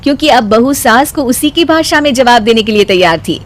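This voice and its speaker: A woman's voice: on the high side, lively intonation, brisk. A woman narrator reading a scripted domestic comic story.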